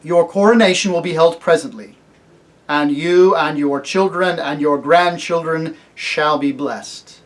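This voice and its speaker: A great storybook lion, as a male reader plays him speaks slowly and with royal gravity. The phrasing is formal and commanding, each clause measured out at a raised, projected pitch. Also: natural voice. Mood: solemn, granting. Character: solemn, kingly great talking lion. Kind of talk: storybook character reading.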